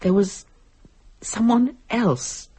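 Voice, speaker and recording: An actress playing a sharp-tongued married woman, frightened and defensively rationalising, with a husky voice in a dramatic audio-play delivery. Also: on the high side, extremely slow, exaggerated voice. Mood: haunted, fearful. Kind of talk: stage-style audio drama acting.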